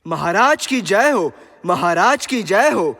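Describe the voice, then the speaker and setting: Man acting a high-voiced, deferential royal attendant. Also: sing-song, takes it slowly, pushed and bright, heavily acted. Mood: deferential announcing. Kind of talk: formal Hindi mythological audio drama acting. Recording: long hall reverb.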